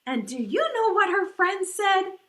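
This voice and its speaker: Preschool storyteller, female: lively swooping pitch, unhurried, singsong delivery, roomy sound. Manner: exaggerated, singsong, prompts listeners to join in. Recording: echoey room.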